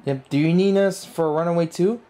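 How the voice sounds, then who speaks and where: A man's voice: on the high side, fairly quick, dark tone. A young man doing casual, slangy, playful let's play commentary as a video game streamer. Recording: game music behind the voice.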